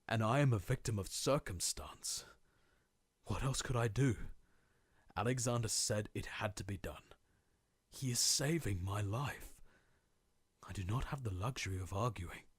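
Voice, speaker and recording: A man's voice: fairly low pitch, a little slow, pauses often, slightly rough, no echo. A man playing a tormented, guilt-ridden diarist sinking into madness, in an intense, formal horror-game monologue. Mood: bitter, self-justifying. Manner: theatrical, formal old-fashioned diction, intense. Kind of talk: dramatic monologue, video game horror fandub.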